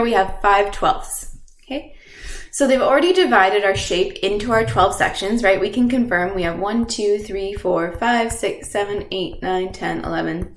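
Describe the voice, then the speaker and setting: A woman teacher with a stuffy nose from a cold, patiently explaining fractions step by step and often checking in with "okay?". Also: medium pitch. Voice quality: nasal. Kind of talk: recorded math lesson. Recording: echoey room.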